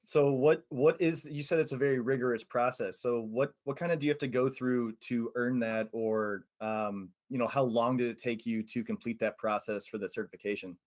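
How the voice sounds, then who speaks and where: A man's voice: medium pitch, moderate pace, few pauses, a little mellow. A man hosting a podcast, introducing a guest and asking questions in a slightly hesitant, stumbling way. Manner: hesitant, stumbles over names. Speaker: podcast host. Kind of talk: casual interview hosting.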